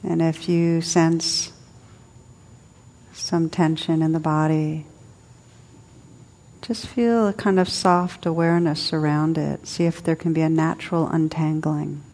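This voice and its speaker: A woman leading a guided meditation as a teacher, soothing and unhurried, speaking in short phrases with long pauses. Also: low-pitched, steady tone, darkish tone. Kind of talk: guided meditation, spoken to a group.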